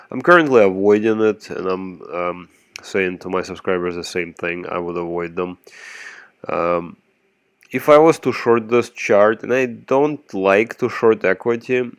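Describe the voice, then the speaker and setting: Brief: low, smooth male voice, dry close sound, host with a cold walking through market charts, measured and halting. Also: dry recording. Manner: measured, explanatory, with frequent ums. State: has a cold. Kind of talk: screen-share market recap.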